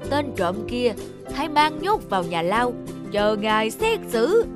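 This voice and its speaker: A woman's voice: a little high, big pitch swings, unhurried, over music. A woman voicing a commanding, anxious fatherly king in a cartoon folk tale, imperious and exclamatory. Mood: elated, commanding.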